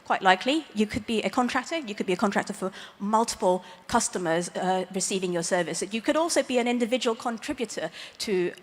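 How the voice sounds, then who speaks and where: A woman's voice: mid-range voice, animated, fast talker, hardly any pauses. Woman lawyer speaking in a reverberant hall. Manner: plain explaining with light self-aware humor. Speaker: lawyer giving a conference talk. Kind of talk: explanatory conference presentation. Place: large conference hall stage. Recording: long reverb of a big hall.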